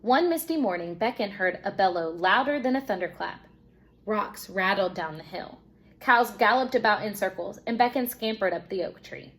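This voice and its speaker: A woman teacher reading a children's storybook aloud in an expressive narrating style. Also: medium pitch.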